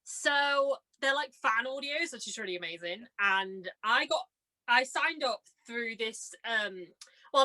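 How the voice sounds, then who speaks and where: A young adult woman chatting casually and telling anecdotes in a bubbly, excitable manner, often saying "like". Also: fairly high pitch. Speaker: guest, amateur voice actor.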